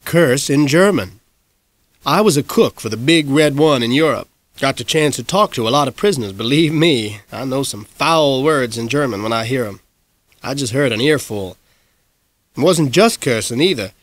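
A man's voice: mid-range voice, lively intonation, normal speed, dry recording, close to an everyday voice. A man voicing a plainspoken middle-aged cook who talks in a folksy, matter-of-fact way.

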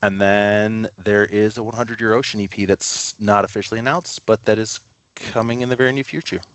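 Man, deep voice, casual podcast guest, enthusiastic rambling storyteller, dry sound. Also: fairly even intonation, a little fast, fairly bright tone, no echo. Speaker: podcast guest, record label co-founder. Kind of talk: casual interview chat.

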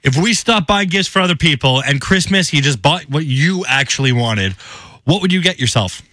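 Guy doing radio host chat, upbeat, slightly high voice, super dry close mic. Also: lively intonation, normal speed, pushed and bright, no echo. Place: radio studio. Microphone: close studio microphone.

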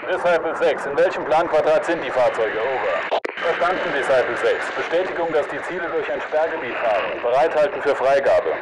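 An actor playing a firm, controlled military command operator in video game radio chatter, formal and authoritative over radio. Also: male voice, on the high side, expressive, a little fast. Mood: firm, businesslike. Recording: very noisy military radio transmission.

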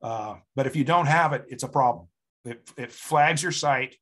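Male meetup presenter, mid-pitched, plain-spoken and encouraging, halting tutorial delivery with repeated words. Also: fairly bright tone. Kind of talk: informal software tutorial.

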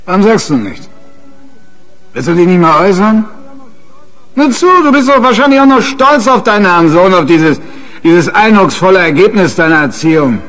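A bullying husband in a radio play, highish voice, sneering n demanding, contemptuous. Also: male voice, big pitch swings, normal speed, fairly bright tone, soft background music. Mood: furious taunting. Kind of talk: German radio crime drama acting.

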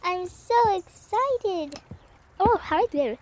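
Someone voicing a high-pitched, cheerful older sister. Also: animated, slow pace, full character voice. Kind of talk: amateur voiced family roleplay.

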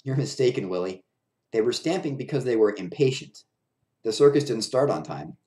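A man's voice: medium pitch, lively intonation, moderate pace, warm tone, close to an everyday voice. A man voicing an upbeat, reassuring circus clown, breezy and emphatic, in a storybook reading. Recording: roomy echo of a bare room.

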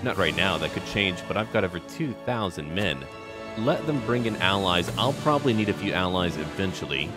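A man's voice: deep voice, big pitch swings, a little fast. A man narrating a strategy game roleplay in a theatrical, in-character manner. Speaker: gaming video host. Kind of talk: scripted story narration and game commentary. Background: background music.

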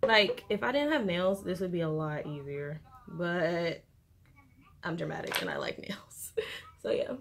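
A woman's voice: lowish voice, unhurried. A young woman casually chatting through a makeup tutorial in an informal, slangy way, recorded in a bedroom. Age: young adult. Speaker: beauty vlogger. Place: bedroom, indoors. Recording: noticeable room noise.

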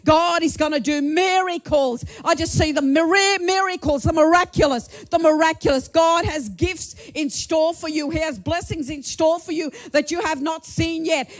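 A middle-aged woman delivering prophetic words over church members in a small church hall. She holds a handheld microphone and speaks fervently, with many repetitions, and at times rises to shouts of praise. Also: very high voice. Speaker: prophetic minister. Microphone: handheld mic.

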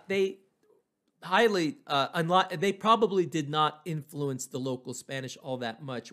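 A man's voice: medium pitch, a bit bright. A man from New Mexico, giving an informal, explanatory history lecture. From New Mexico, United States.

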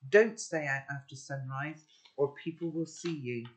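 A woman's voice: low-pitched, measured pace. Stern rooftop boy, read by an older woman. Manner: curt and commanding. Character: guarded, stern rooftop-dwelling teenage boy.

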